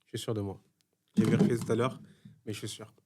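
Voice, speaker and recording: A man's voice: fairly low pitch, flat delivery, fast, mellow. A man co-hosting a podcast and running a guessing game, teasing his partner and laughing often. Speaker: podcast co-host.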